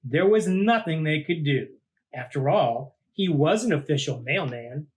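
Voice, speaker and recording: A man reading a children's picture book aloud like a teacher, with deliberate dramatic phrasing and drawn-out words. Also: a little high, animated. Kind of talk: animated storybook read-aloud for children.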